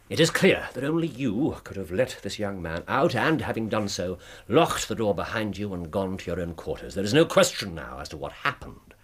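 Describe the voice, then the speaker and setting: A man playing a brilliant, courteous English gentleman detective in a radio drama, precise, theatrical and deliberate, with a husky voice. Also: lowish voice. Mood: probing. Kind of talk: British radio drama acting.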